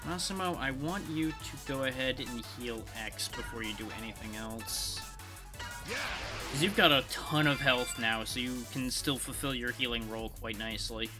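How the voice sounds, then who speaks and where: A man's voice: mid-range voice, moderate pace. A man giving casual live commentary on a video game, talking to himself in a wry way. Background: video game music.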